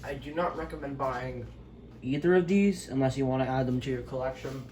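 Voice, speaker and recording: A man's voice: medium pitch, fairly quick, warm tone. Male gadget reviewer, casual and rambling, unscripted, with some background noise on a homemade recording.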